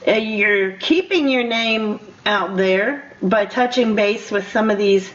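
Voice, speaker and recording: An older woman interviewing a guest casually as a radio host, in a halting, rambling, encouraging way. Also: mid-range voice, fairly bright tone. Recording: dull lo-fi radio interview audio.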